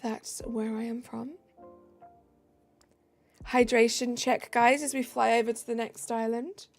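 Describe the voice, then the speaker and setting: A woman's voice: on the high side, level tone, unhurried. A woman from the south of England with a southern English accent, live streaming and chatting casually with viewers, reading out comments between fillers. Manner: chatty, reads out and answers chat, trailing fillers. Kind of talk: casual chat, answering viewer comments while gaming. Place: home room. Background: faint background music.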